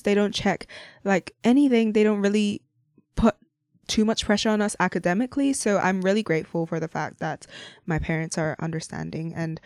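A woman's voice: mid-range voice, no echo, studio quality. A young woman from Hong Kong hosting a casual, unscripted podcast, rambling cheerfully with lots of fillers and frequent little laughs. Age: young adult. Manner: rambling, chatty, frequent "like" and "you know", laughs often. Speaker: podcast host.

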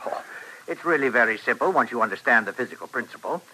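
An actor playing a smooth-talking professor who patiently shows off a wondrous machine, in an old-time radio western. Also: male voice, mid-range voice, animated, quick pace, sharp tone, a little hiss or hum, low-quality recording. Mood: confident, expounding. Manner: patient, showy explaining, like a salesman. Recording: vintage radio broadcast recording.

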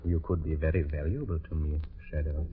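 An actor playing a cold-blooded, urbane doctor villain in an old-time radio drama, speaking with measured, polite menace and deliberate hesitations. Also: male voice, very deep voice, steady tone. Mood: quietly confident. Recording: dull, noisy old radio recording.

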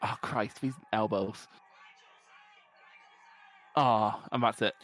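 A young man with a Scottish accent, talking in a loose, sweary way over a match. He laughs easily and gets excited as the action builds. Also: fairly low pitch, takes it slowly. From Scotland. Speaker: podcast co-host. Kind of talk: casual watchalong chat.

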